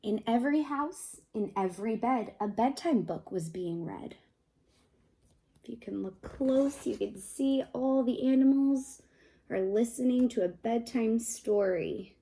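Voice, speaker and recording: A woman reading a children's picture book aloud expressively, pausing to ask her young listeners questions. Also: on the high side, creaky, dark tone, rough recording. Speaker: read-aloud host, storyteller.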